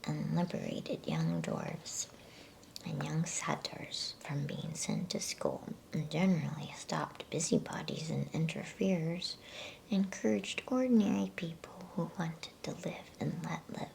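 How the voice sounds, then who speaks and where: A woman reading a storybook in a soft, breathy ASMR whisper, with a soothing, unhurried manner and casual delivery. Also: deep voice, sing-song, rough recording. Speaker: ASMR bedtime story reader.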